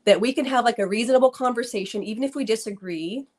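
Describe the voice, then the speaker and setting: A woman's voice: on the high side, quick pace, darkish tone. A woman in her thirties, guest author in an interview, talking earnestly with many fillers and restarts. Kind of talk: conversational interview.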